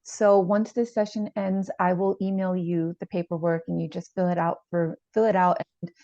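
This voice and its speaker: Woman acting as female therapist, mellow, low-pitched, calm, reassuring, hesitant, echoey room. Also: normal speed, lightly acted. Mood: friendly, professional. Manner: reassuring, hesitant, repeats gently. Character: calm, supportive, gentle female family therapist. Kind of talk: improvised role-play of a therapy session. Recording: echoey room, slightly dull sound.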